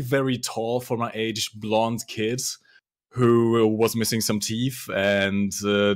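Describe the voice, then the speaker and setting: A young man from Germany whose first language is German talks about his past in a fairly low voice. He answers thoughtfully and hesitantly, with fillers and restarts along the way. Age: young adult. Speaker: interview guest. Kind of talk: casual interview.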